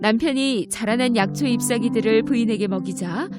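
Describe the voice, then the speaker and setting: A woman narrates a children's fairy tale from a script. Her voice sits fairly high, and music plays clearly behind it. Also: brisk. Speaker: storyteller.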